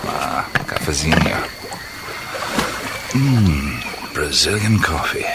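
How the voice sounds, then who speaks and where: An actor playing a curious, soft-spoken adventurer man who muses and hesitates, in a radio play. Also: very deep voice, sing-song, slow, heavy background noise, heavily acted. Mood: musing. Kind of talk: radio drama acting. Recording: radio play with loud night insects.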